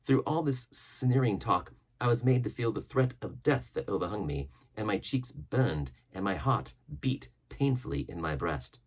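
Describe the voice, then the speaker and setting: A man narrates an audiobook in a low, slightly husky voice. His reading is dramatic and very animated, with deliberate phrasing. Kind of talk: dramatic scripted storybook narration.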